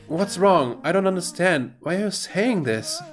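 A man's voice: sits high, lively intonation, normal speed, soft background music. A man with a German accent voicing a hurt, confused, earnest teenage girl in a comic dub.

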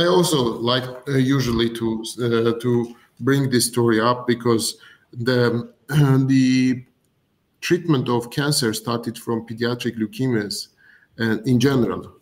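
A man in his thirties hosting a live online event from an office, speaking haltingly as he introduces guests. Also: medium pitch. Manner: halting, searching for words. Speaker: host. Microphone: video call.

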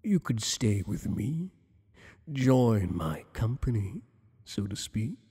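A man's voice: lowish voice, big pitch swings, mellow, breathy edge, full character voice. Silky, teasing alien monster played by a man. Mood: seductive, coaxing. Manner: teasing, silky, courtly and deliberate. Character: flirtatious, possessive male tentacled alien monster boss. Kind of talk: ASMR roleplay acting. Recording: long echoing reverb.

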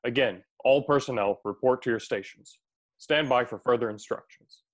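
A man's voice: medium pitch, normal speed, lightly acted. A man in his twenties playing an authoritative starship captain making formal announcements in a science-fiction radio play. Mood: official, urgent. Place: bedroom on video call. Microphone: earbud headset.

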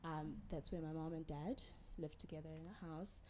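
A young woman from the Eastern Cape, a lively guest in a casual interview who laughs easily. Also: low voice, moderate pace, a little hiss or hum. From Eastern Cape, South Africa. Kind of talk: casual conversation. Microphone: handheld mic.

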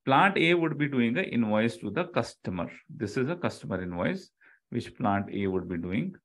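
A man's voice: medium pitch, big pitch swings, moderate pace, a bit bright. A middle-aged man with an Indian accent, an online instructor explaining step by step, repeating key phrases and checking in with "okay" and "right". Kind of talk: live online lecture.

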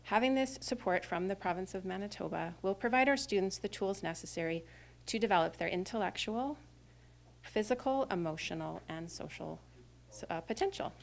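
A woman's voice: medium pitch, expressive, moderate pace, gravelly, a little hiss or hum. A woman school trustee giving a prepared greeting speech at a podium in a school classroom, formal with small fillers. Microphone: podium microphone.

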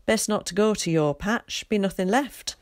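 A woman's voice: medium pitch, normal speed, a little mellow, dry recording, studio quality, pitched above the performer's own voice, natural voice. Woman playing a friendly, chatty village mother. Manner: chatty rustic dialect.

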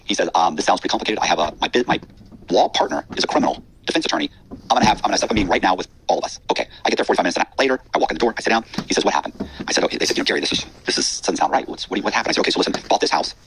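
A man telling an anecdote at a rapid-fire pace and acting out the dialogue he quotes. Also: low voice, sing-song, fairly bright tone, a little hiss or hum, rough recording. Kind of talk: fast anecdotal storytelling.

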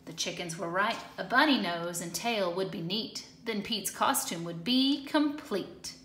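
A woman reading a picture book aloud to children in an expressive, deliberate way, recorded in an echoey room. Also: mid-range voice, slight background noise. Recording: echoey hard-floored room.

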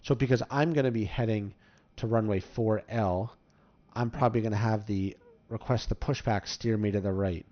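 A man's voice: on the low side, normal speed. A man giving casual, step-by-step commentary as host of a game tutorial. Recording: slightly dull, muffled microphone.

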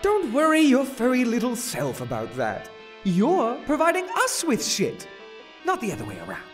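A man's voice: very high voice, huge pitch swings, normal speed, soft background music, full character voice. A man playing a flippant, sarcastic young wizard in a comic dub, with a sassy, dry way of talking.

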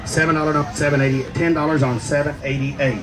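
A man's voice: a little high, little pitch movement, fast. A man calling numbers in a clipped, rhythmic auction chant. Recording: distant outdoor crowd noise. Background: loud crowd.

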